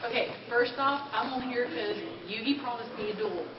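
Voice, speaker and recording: Woman putting on a haughty high-voiced female duelist rival, echoey room. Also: a little fast, noisy background, close to an everyday voice. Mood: haughty, bored. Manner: deadpan, dismissive. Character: haughty, bored, cutting female rich card-duel rival. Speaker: cosplay co-presenter. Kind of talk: in-character panel banter. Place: indoor convention panel room. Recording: echoey room, distant muffled camera mic, crowd.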